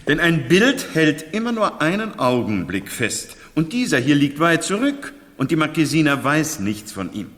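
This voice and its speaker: An actor playing a self-important, lecturing doctor in a German radio play, with a pedantic, professorial manner. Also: male voice, on the high side, very animated, normal speed, few pauses, fairly bright tone. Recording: reverberant hall.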